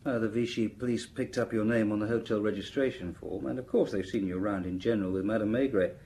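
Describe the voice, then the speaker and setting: A man performs an efficient inspector in a radio drama. He speaks in a fairly low voice, politely and without fuss, as if reporting to a superior. A little noise sits behind him. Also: fast talker, mellow, close to an everyday voice. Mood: courteous. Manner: matter-of-fact, courteous. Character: deferential, efficient male police inspector briefing his chief. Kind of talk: audio drama dialogue.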